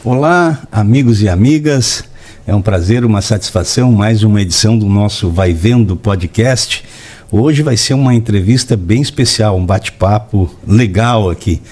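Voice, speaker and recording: A man's voice: lowish voice, normal speed, darkish tone. A man hosting a casual podcast interview, friendly and admiring, often ending his remarks with tag questions. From Blumenau, southern Brazil. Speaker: podcast host.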